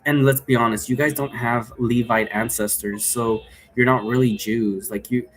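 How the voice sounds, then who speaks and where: A man with a mid-pitched voice talks off the cuff, explaining things in a loose, rambling way. He drifts into asides as he goes. There is noticeable noise behind him in the room. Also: fairly even intonation, normal speed. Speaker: presenter explaining an occult role-play game. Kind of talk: casual unscripted explanatory talk. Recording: clearly noisy room recording.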